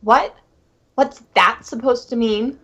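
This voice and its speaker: A woman's voice: on the high side, steady tone, takes it slowly, pushed and bright, husky, exaggerated voice. A woman voicing a bossy, easily flustered talking bird in a comic fandub, on a dull lo-fi recording. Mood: indignant. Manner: indignant, flustered. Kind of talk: comic fandub character reading.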